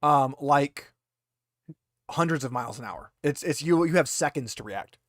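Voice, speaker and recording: A man narrating a tabletop roleplaying game as game master in a halting, conversational way. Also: medium pitch, no echo, studio quality.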